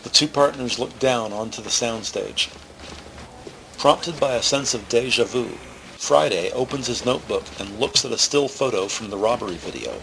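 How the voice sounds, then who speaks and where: A man narrating a scripted crime audio drama in the present tense, measured and descriptive. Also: mid-range voice, gravelly, fairly bright tone, a little hiss or hum, low-quality recording. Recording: faint music behind.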